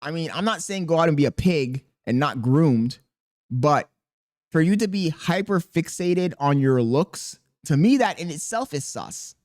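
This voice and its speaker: A man with a squeaky voice talking casually and profanely to camera as a content creator, rambling and animated. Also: measured pace, no echo, studio quality. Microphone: podcast dynamic mic.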